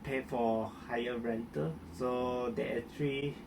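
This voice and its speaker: A young man with a light Malaysian accent speaks in slow, halting phrases, often restarting words. His voice has a gravelly fry to it. He sits some way from the microphone, with a clear hum of air conditioning behind him. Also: medium pitch, fairly even intonation, far from the mic. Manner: hesitant, many restarts and fillers. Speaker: interviewee. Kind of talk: casual interview answers.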